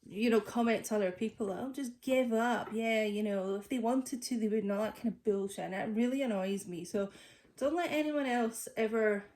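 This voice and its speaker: A woman's voice: fairly high pitch, normal speed, darkish tone. A woman with a stuffy nose from a cold, chatting casually through a tarot reading with lots of fillers. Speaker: tarot reader. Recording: echoey room.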